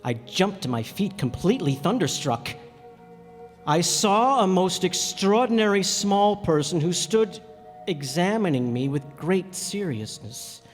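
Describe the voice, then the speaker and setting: A man's voice: sits high, very animated, measured pace. Man acting a stranded pilot in an echoey hall. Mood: earnest, wondering. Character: stranded airplane pilot recounting his desert encounter, thoughtful man. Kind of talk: radio drama narration. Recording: echoey hall, music behind.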